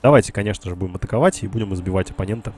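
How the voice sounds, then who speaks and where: A man's voice: low-pitched, very fast, a little mellow, a little hiss or hum. A man, congested and nasal from a cold, casually commentating a strategy game live for his chat and thinking aloud. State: sick with a cold. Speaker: game streamer.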